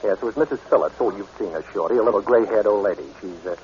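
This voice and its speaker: An actor playing a suave, wry adventurer hero in conversational 1940s radio style. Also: male voice, fairly low pitch, racing, a little mellow, a little hiss or hum, lightly acted. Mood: wry, easygoing. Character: suave, wry adventurer hero, good-hearted former thief. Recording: dull 1940s radio recording.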